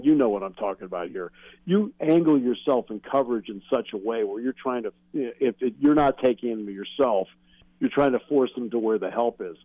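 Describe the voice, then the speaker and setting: A man's voice: medium pitch, dry recording. An American man giving analytical, explanatory answers as a guest sports broadcaster in a radio interview. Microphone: remote call-in connection. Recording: narrow, dull phone-like call-in line.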